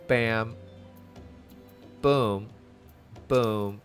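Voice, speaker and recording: A man's voice: on the low side, drawn-out words, faint music behind. Chatty, playful male gaming streamer. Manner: chatty, easily distracted, playful. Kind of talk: casual game commentary to viewers.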